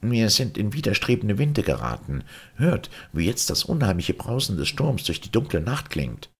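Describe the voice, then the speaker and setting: A man acts out a wise male Martian airship pilot from a storybook. He speaks formally and eloquently, lifted well above the narration, with sweeping intonation and a husky edge. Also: lowish voice, sing-song, moderate pace, pitched above the performer's own voice, heavily acted. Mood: grave warning.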